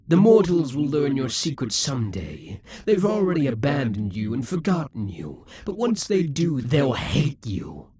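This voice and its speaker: A man's voice: mid-range voice, a little mellow, breathy edge, no echo, exaggerated voice. A man voicing a proud, possessive male demon king who taunts and schemes, sometimes dropping to a whisper. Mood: scornful. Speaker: voice actor in an audio roleplay. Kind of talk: audio roleplay acting.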